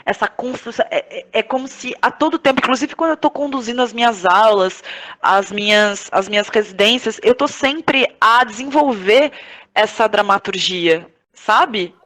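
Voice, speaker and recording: Brazilian female podcast guest, somewhat higher register, introspective, feels out her thoughts aloud in conversation. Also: expressive, moderate pace, fairly bright tone. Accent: Brazilian Portuguese. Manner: introspective, searching, often seeking agreement with short tags. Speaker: podcast guest, playwright, actress and director. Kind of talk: reflective interview talk about own work.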